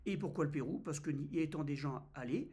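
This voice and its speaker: A man's voice: a little high, a little fast, darkish tone, slightly rough. A man in his fifties from France, vlogging in a casual, hesitant way with many fillers and restarts. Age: 50s. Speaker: travel vlogger. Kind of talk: casual first-person vlog.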